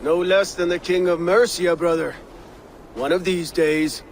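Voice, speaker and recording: An actor playing a Viking warrior who earnestly offers friendship. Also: male voice, sits high, fairly even intonation, a little slow, a little hiss or hum. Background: music.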